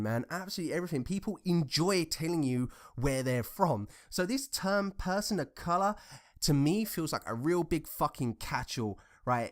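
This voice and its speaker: A young man from England with a southern English accent, giving casual ranting commentary in an informal, sarcastic, sweary way. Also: a little high. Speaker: commentator reacting to social media posts.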